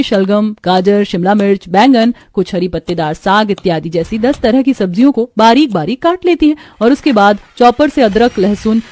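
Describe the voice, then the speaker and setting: A woman reading scripted storybook narration for an animated cartoon, telling the action in the present tense. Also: mid-range voice.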